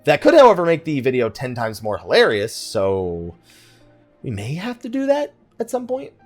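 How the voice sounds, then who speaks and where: A man's voice: medium pitch, wildly swooping pitch, normal speed, soft background music. A man giving casual let's play commentary, chatting and rambling as he thinks aloud while he plays. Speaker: gaming YouTuber, let's play host.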